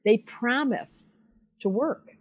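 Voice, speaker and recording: An older woman astrologer talking bluntly in a casual conversation, animated and acting out the voices of the people she quotes. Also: on the high side, takes it slowly. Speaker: astrologer guest.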